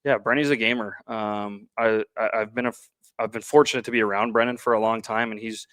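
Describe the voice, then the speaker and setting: A Canadian man speaks as a coach in a sports interview, in a low voice with very little melody. He pauses often and feels his way through his answers. The sound is dry, with no room around it. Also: fairly bright tone, no room sound. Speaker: baseball coach being interviewed.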